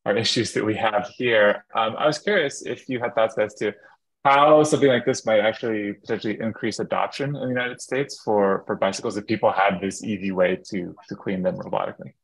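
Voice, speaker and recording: Online panel host, thirties male, lowish pitch, stop-start phrasing. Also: fairly even intonation, vocal fry, breathy edge, low-quality recording. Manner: halting, filler-heavy. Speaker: event moderator. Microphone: webcam on video call.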